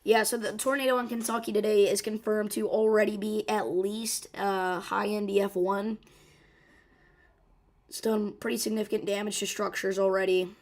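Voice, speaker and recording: Hoarse woman doing live weather coverage. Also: mid-range voice, little pitch movement, moderate pace. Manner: urgent, informal, many fillers and restarts. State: rough, hoarse voice. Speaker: severe weather livestream host.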